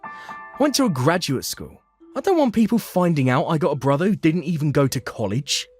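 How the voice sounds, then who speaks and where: A man's voice: a little high, very animated, brisk, darkish tone, soft background music, pitched above the performer's own voice. A man voicing a stubborn, selfish young brother in a whiny, petulant way, for a manga story. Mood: angry, scornful.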